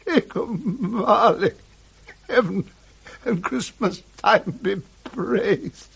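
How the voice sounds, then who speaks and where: A man's voice: sits high, extremely slow, creaky, a bit bright, slightly rough, heavily acted. A man playing an old British miser full of intense, repentant feeling, in a theatrical radio drama. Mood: joyful, tearful. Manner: impassioned, theatrical.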